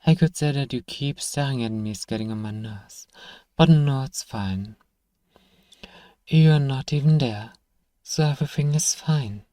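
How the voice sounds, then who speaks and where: Male actor as sardonic fantasy shopkeeper, exasperated and stern, low-lit soft timbre, very dry close sound, for ASMR roleplay. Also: unhurried, no room sound, natural voice. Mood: weary, muttering. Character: irritable, sarcastic, exasperated fantasy apothecary shopkeeper, grudgingly caring.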